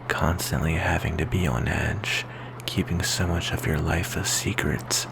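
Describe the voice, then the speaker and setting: A man playing a melancholy, insecure vampire boyfriend in an ASMR roleplay, speaking softly and hesitantly in a gravelly whisper. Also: very deep voice, background noise, exaggerated voice. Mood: weary, sad. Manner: soft, hesitant, intimate, slow with pauses.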